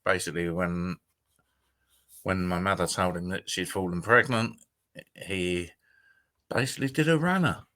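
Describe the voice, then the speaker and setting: A man's voice: sits low, normal speed, pauses often, bright, forceful tone. An older man, a guest on an interview show, speaking hesitantly with dry humour. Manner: hesitant, many ums and restarts, dry humour. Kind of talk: casual interview.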